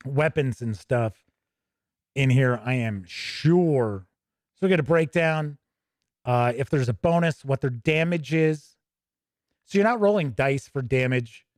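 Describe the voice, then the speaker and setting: A man's voice: medium pitch, a little mellow, no echo, studio quality. A man hosting a tabletop gaming show, giving casual, chatty, rambling commentary off the cuff. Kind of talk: casual unscripted commentary while leafing through a book.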